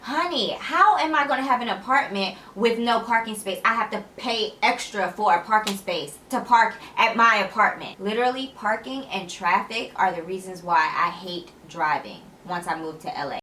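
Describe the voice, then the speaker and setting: A young woman chatting casually to her camera, energetic and sassy, with a tired, slightly raspy voice late at night. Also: mid-range voice, normal speed, hardly any pauses, slight background noise. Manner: energetic, chatty, laughs often, sassy asides. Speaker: vlogger. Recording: echoey room.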